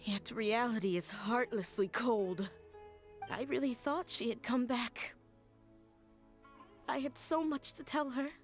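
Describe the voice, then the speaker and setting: A woman voicing a grieving young woman who is tender and wistful, speaking in a soft, hesitant, sorrowful way in game voice acting. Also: on the high side, takes it slowly, pauses often, faint music behind.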